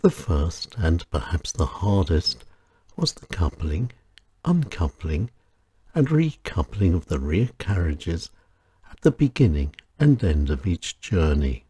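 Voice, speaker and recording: A man reading his own short stories aloud in a gentle, measured storytelling manner with lilting emphasis. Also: deep voice, big pitch swings, breathy edge. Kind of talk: scripted narration of short stories.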